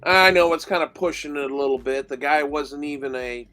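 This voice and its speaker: A middle-aged man with a nasal, congested voice co-hosting a fantasy football show, talking casually and a little haltingly. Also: fairly high pitch, pushed and bright. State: congested with a cold. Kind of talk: casual sports rankings talk.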